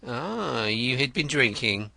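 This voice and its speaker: A man's voice: medium pitch, sing-song, slow pace, vocal fry, bright, forceful tone, dry recording, pitched above the performer's own voice, heavily acted. Man reading as a dry, wry detective. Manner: dry, teasing, questioning. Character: shrewd, wry male detective, dry and probing.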